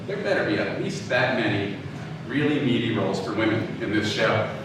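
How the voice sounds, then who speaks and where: A man's voice: fairly low pitch, animated, moderate pace. A man giving an informal lecture in a conversational, emphatic way, telling a personal story and checking in with his listeners. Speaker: audio drama producer giving a lecture. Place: live talk in a reverberant room. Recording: echoey room from far off, clear noise.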